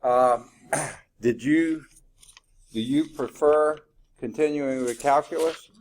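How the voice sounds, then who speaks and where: A man with a loud voice coaching a math team in a classroom, relaxed and encouraging. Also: medium pitch, slow pace, a little hiss or hum. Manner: relaxed, encouraging, many short agreements. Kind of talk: casual classroom talk.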